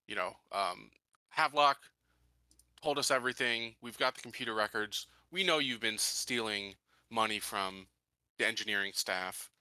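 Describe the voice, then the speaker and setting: A man playing a tabletop game, talking casually and haltingly as he lays things out and seeks clarification. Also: lowish voice, sharp tone, dry recording. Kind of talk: casual game-table talk with in-character questioning.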